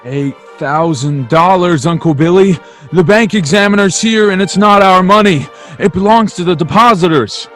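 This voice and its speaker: A man's voice: high-pitched, normal speed, a bit bright, soft background music. A man playing an earnest, hot-tempered young small-town man in a radio drama, emphatic and impassioned. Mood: furious. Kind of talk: old-time radio drama acting.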